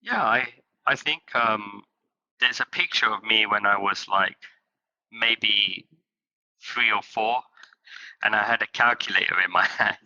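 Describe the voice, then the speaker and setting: Bright-voiced man speaking in halting phrases. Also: lowish voice, creaky, lo-fi sound. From England. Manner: halting, many fillers, laughs easily. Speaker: guest, company CEO. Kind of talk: interview answers.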